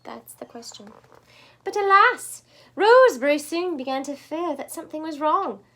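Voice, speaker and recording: High-voiced, animated woman reading a storybook. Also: normal speed. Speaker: storybook reader. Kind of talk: animated children's read-aloud with chatty asides.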